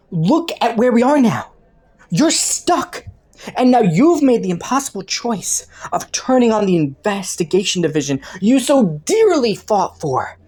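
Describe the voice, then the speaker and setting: Woman playing a low-voiced, flirty, taunting rogue. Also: dark tone, breathy edge. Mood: mocking, playful. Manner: playful, teasing, sardonic, sultry. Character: flirty, taunting, clever underworld rogue teasing a detective. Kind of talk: roleplay audio drama, intimate monologue to the listener.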